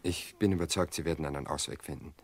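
An actor playing a discreet, polite son-in-law in a radio play, choosing his words haltingly. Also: extremely low pitch, slight background noise. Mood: discreet, hesitant. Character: discreet, hesitant son-in-law asking a delicate favour. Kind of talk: German radio play acting.

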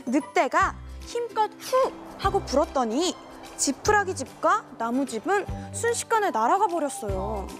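Female voice-over, high and bouncy, sing-song fairy-tale narration over jingly music. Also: very animated, measured pace. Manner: sing-song, sweet storytelling. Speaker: storybook narrator. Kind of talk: scripted children's story narration.

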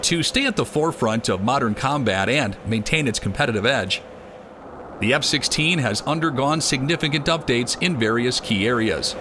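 A man giving scripted documentary narration in an even, measured delivery. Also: mid-range voice, noisy background. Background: music.

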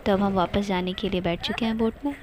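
A woman's voice: mid-range voice, steady tone, fast talker, crowd noise. A young woman from India narrating a casual travel vlog, cheerful and chatty, pointing things out to her viewers. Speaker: vlogger.

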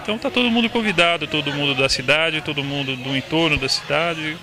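A middle-aged man answers a reporter outdoors at a tented fair, halting and restarting as he searches for words. His voice sits fairly high. The reporter's handheld mic picks him up at close range. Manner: hesitant, restarting, many fillers. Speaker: town official. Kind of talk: interview answers. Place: outdoor fair under tents.

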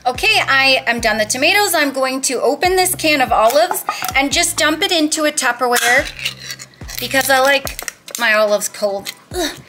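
A woman's voice: high voice, animated, moderate pace, sharp tone, slight background noise. A woman in her thirties chatting casually as she walks through her meal prep in a home kitchen, upbeat with cutesy words. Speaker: vlogger.

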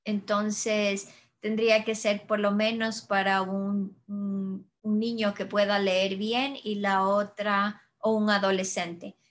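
A woman in her thirties, an audiologist, answering audience questions in a webinar over a video call, calm and explanatory with frequent restarts. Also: mid-range voice, slow pace. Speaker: audiologist presenter. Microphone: webcam video call.

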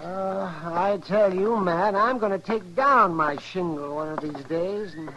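High-voiced crotchety frontier doctor. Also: male voice, unhurried. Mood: grumbling, amused. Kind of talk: 1950s radio western acting. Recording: noisy 1950s radio recording.

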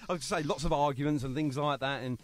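A man's voice: fairly high pitch, fairly even intonation, rapid-fire. A man hosting a radio show, chatty and jokey, interviewing a guest. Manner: chatty, jokey, self-mocking.

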